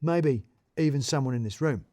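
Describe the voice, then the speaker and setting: A man's voice: mid-range voice, normal speed, warm tone, natural voice. A pompous male office manager, acted out by a male story reader barks in clipped, emphatic corporate phrases. He is self-important and bossy. The sound is dry, with no room echo. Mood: grave, insinuating.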